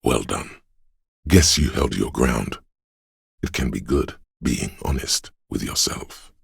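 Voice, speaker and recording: Very deep, gravelly voice of willpower. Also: male voice, slow pace, no room sound, studio quality, full character voice. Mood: gentle reassurance. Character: inner voice of willpower, a stoic, protective, encouraging male conscience. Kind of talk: dramatic game voice acting.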